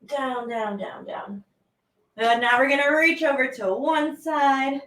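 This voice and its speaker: A woman with a bright, very high voice teaches a children's movement class. She is playful and exclamatory, drawing words out as she gives easy instructions. The sound is echoey, as if she stands back from the mic in a room. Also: slow pace. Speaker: teaching artist leading a children's warm-up. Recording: roomy echo, distant from the mic.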